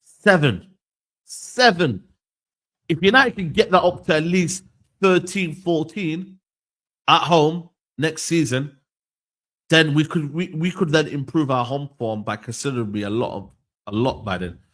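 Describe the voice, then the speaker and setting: A man's voice: fairly high pitch, a little slow, long pauses, a bit bright. A man, arguing about football in a casual chat, rambling and repeating himself as he stumbles over his words. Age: 30s. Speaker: podcast host. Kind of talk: heated casual football debate.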